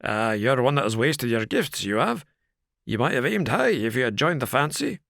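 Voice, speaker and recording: Male narrator doing a burly, blunt doorkeeper in a storybook reading, rough and loud. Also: medium pitch, very animated, normal speed, dry recording, clean studio sound, pitched above the performer's own voice. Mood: hearty. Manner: gruff and blunt, rough plain speech.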